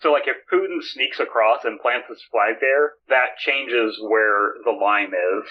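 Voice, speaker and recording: A man's voice: mid-range voice, measured pace, lo-fi sound. A man hosting a podcast in casual chat, speaking over a remote link. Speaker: podcast host. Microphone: remote call.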